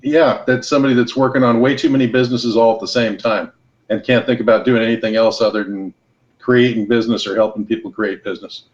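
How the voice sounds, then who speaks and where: Relaxed man giving business advice. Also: medium pitch, fast. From Los Angeles and Hawaii. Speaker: guest entrepreneur. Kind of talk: casual podcast conversation. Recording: slightly dull lo-fi sound.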